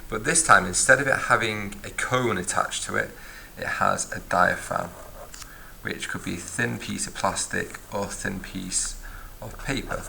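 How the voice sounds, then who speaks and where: A man's voice: fairly low pitch, a little slow, gravelly, background noise. A man teaching a physics lesson, explaining step by step and recapping as he writes in a notebook. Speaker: science teacher. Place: at a desk, filming a notebook from above.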